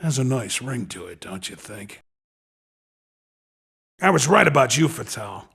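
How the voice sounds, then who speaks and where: A man playing a cocky street racing recruiter in a video game, with a raspy voice and slangy, coaxing patter. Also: mid-range voice, very animated, unhurried, fairly bright tone, heavily acted. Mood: cocky, encouraging. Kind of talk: video game character dialogue.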